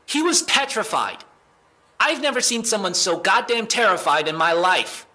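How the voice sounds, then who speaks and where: Sharp, higher-voiced, desperate young man, acted by a man. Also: very animated, normal speed, full character voice. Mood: shaken, insistent. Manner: stammering, exasperated, sighing. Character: desperate, defensive young man under police questioning. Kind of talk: audio drama acting.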